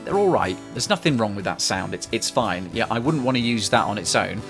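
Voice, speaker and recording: A low, gravelly voiced man talks through his mix in a home studio. He rambles loosely and restarts his sentences as he explains, with faint music behind him. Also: lively intonation, brisk. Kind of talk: casual tutorial talk.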